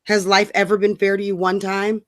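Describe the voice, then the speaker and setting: Woman, medium pitch, blunt, exasperated, profane, slangy, casual ranting chat. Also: level tone, a little fast. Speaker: co-host of political rant show.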